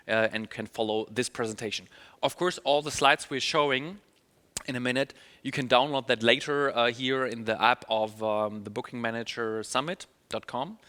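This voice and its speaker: A man's voice: medium pitch, pushed and bright, clear, resonant tone, big-room sound. A man from Germany with a German accent gives an upbeat online conference talk as an email marketing trainer, using many fillers and restarts.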